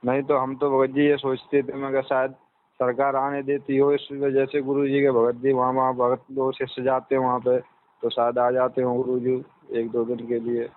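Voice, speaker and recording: A man's voice: mid-range voice, completely flat delivery, normal speed. A man calling by phone, talking casually in a deferential, agreeable and slightly hesitant way. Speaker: caller, devotee seeking clarification. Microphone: phone line. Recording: tinny, dry phone call recording.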